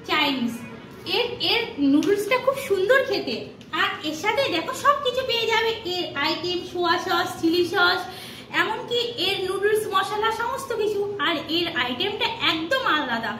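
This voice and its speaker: A woman's voice: very high voice, animated, moderate pace, bright, forceful tone. A middle-aged woman chatting casually to viewers as she narrates her home cooking step by step, with little asides. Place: home kitchen. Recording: echoey kitchen with clear sizzling and stirring noise. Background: frying sizzle, stirring.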